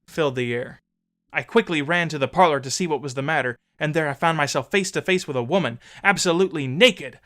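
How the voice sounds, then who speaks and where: A man narrating an old memoir as a dramatic audiobook, solemn, measured and theatrical. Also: fairly high pitch, fairly bright tone.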